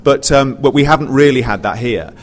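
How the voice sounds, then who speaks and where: A British man speaks at a mid-range pitch in an informal panel discussion. He is chatty but hesitant, circling back and rephrasing his points. The live theatre recording carries faint background noise. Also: a little fast. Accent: British English. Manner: hesitant, self-correcting, chatty. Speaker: pantomime writer and panelist.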